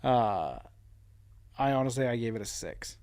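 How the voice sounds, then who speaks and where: A man's voice: mid-range voice, lively intonation, a little slow, darkish tone. A man in his twenties co-hosting a podcast. He is tipsy and jokes at his own expense, swearing casually. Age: 20s. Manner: joking, self-mocking, swearing. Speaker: podcast co-host. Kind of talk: casual chat.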